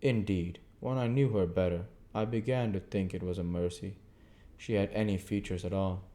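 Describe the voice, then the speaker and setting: A man's voice: sits low, fairly even intonation, normal speed, warm tone. A man giving an amateur audiobook narration of a classic novel as the reader and host.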